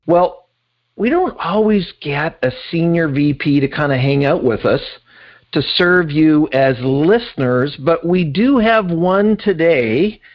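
A man's voice: a little high, animated, takes it slowly, lo-fi sound. Upbeat encouraging podcast host, man. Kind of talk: podcast interview and promo read.

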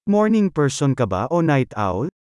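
Man, fairly high and very lively voice, scripted phrase reading for a language course, dry recording. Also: normal speed, clear, resonant tone, no echo. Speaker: Filipino phrase reader.

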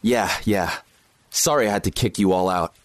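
An actor playing a protective safehouse host in an audio drama, direct and practical in manner. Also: male voice, on the low side, normal speed, dry recording, lightly acted. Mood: apologetic, caring. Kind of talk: naturalistic audio drama acting.

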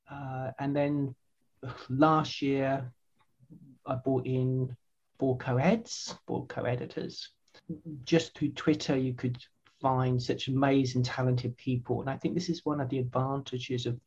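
A woman's voice: very deep voice, darkish tone, lo-fi sound. An older woman, earnestly answering interview questions with frequent restarts and repeated words. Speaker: website founder, guest.

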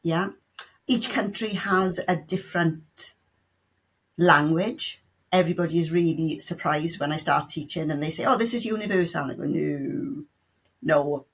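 A woman's voice: low voice, a little slow, breathy edge. A middle-aged woman with a South Welsh accent, a sign language tutor answering interview questions in a chatty way, full of restarts and playful asides. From South Wales.

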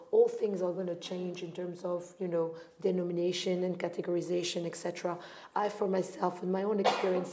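A woman's voice: on the low side, mellow. A woman from Morocco speaking reflectively as a founder taking part in a panel discussion. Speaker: art fair founder on a panel.

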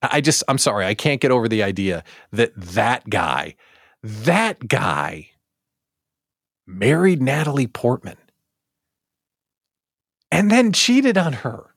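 A man hosting a casual live show, talking straight to viewers in an animated, theatrical way with exaggerated emphasis. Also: medium pitch, slow, pauses often, no room sound, studio quality. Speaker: host of a daily live show for small business owners.

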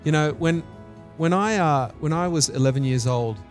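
Higher-voiced Australian man preaching. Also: moderate pace, faint music behind. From New Zealand and Australia. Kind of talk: sermon with personal stories.

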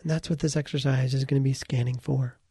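A man teaches a guided meditation in a dark, relaxed voice with a heavy gravelly fry. He lays out short, deliberate phrases with pauses between them. The sound is dry, with no room around the voice. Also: mid-range voice, dry recording.

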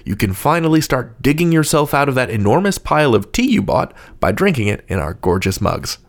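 A man's voice: medium pitch, very animated, brisk. A man hosting a podcast, reading semi-scripted announcements in a playful, wry way. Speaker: podcast host.

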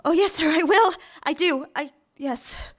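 A nervous young secretary in a radio play, with a high-pitched voice. She is eager and deferential, tripping over her words around the boss she adores. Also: fairly bright tone. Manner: flustered, halting, deferential. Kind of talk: live radio drama acting with first-person narration. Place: theater stage, live show.

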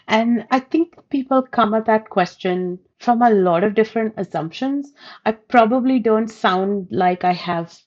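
A woman in her thirties from India with a softened Indian accent, reflecting thoughtfully in an interview, hedging often. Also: on the high side, measured pace, lo-fi sound. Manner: thoughtful, hedging, with light laughs. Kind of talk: reflective personal storytelling.

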